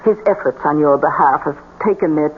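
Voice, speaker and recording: A woman's voice: low voice, fairly quick, natural voice. Casting: woman to play scheming seance hostess, formal and theatrical; thin, noisy vintage radio. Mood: persuasive, grave. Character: smooth-talking, scheming seance assistant running a con. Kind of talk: old-time radio drama acting. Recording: noisy, tinny old radio broadcast.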